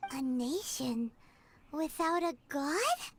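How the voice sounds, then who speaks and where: A woman voicing a small, curious, chirpy fairy companion with a squeaky childlike voice in a video game. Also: lively intonation, slow, heavy background noise. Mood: shocked. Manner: refers to self in third person, exclaiming. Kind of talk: video game character acting. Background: game music.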